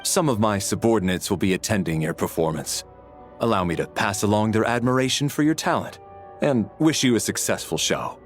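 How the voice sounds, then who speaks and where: A man's voice: fairly low pitch, fairly quick, soft background music, natural voice. A man playing a courteous, formal superior in a video game cutscene, speaking politely.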